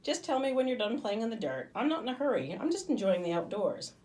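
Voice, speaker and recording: Woman reading as curious, insistent young girl, animated. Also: a little high, fast talker, voice pushed up. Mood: exasperated, amazed. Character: Livy, bossy, impatient, curious young girl.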